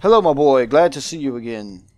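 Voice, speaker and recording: A man voicing a visual novel's aristocratic guardian, pompous, dramatic and deliberate, with menacing affection. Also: mid-range voice, expressive, close to an everyday voice. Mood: stern, probing.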